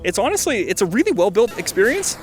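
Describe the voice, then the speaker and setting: A man's voice: high-pitched, sing-song, fast, faint music behind. A man giving casual, enthusiastic video game commentary as a host, stressing points by repeating words.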